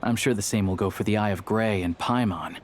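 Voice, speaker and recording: Man playing a calm, composed young companion. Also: lowish voice, brisk, slight background noise, close to an everyday voice. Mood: frank. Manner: composed, reassuring, matter-of-fact. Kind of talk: video game character dialogue.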